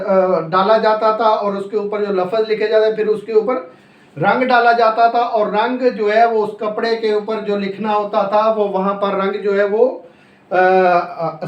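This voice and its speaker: Male law lecturer, Pakistani accent, unhurried and repetitive delivery, English legal terms mixed in, roomy echoing sound. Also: high-pitched, dull audio. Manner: repetitive, explanatory, asks and answers own questions. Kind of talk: classroom lecture, explaining and dictating notes.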